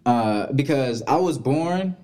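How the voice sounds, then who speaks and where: A man hosting a podcast, from Florida, giving a hype, drawn-out intro and asking relaxed questions. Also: mid-range voice. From Florida, USA. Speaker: podcast host. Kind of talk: casual interview.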